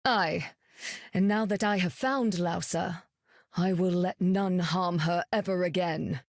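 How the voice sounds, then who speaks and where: A woman's voice: on the low side, slow pace, no echo, studio quality. A woman playing a stern, proud warrior goddess in a video game, speaking in a formal, archaic, severe way. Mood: stern, resolute. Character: stern, proud warrior goddess, fierce protector of her sister. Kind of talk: video game character voice acting.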